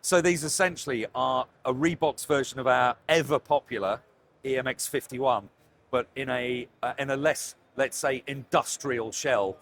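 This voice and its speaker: A man's voice: mid-range voice, normal speed, a bit bright. A British man gives an enthusiastic, effusive product presentation as a company representative, stressing and repeating words of praise. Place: trade show floor. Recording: clear background noise of busy trade show. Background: trade show ambience noise.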